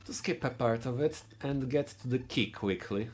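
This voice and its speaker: A man from Poland with a Polish accent, giving live speedrun commentary in a halting way, often restarting his sentences. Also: medium pitch, darkish tone. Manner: halting, searching for words, frequent restarts.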